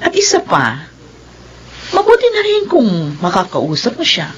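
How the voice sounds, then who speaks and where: A patient, middle-aged mother in a radio drama, reasoning in a lively, placating way. The recording is dull and lo-fi with faint noise. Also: high-pitched, moderate pace. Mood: concerned, reasoning.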